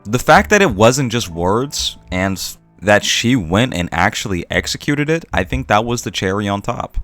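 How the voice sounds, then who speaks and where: A man's voice: on the low side, very animated, moderate pace, fairly bright tone, faint music behind. A man narrating a scripted opinion video essay as a channel host, with earnest, emphatic phrasing.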